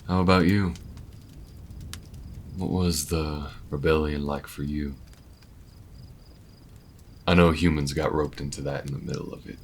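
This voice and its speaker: A man playing an easygoing, weathered alien bounty hunter in an intimate audio roleplay, talking in a folksy, unhurried way with murmured asides. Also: a bass voice, fairly even intonation, slight background noise. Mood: wistful, reflective.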